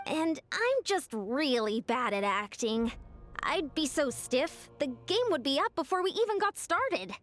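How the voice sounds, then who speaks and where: A woman voicing an upbeat, eager young female fighter in a video game, with an energetic, emphatic and friendly delivery. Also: sits high, measured pace, soft background music, heavily acted. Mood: earnest.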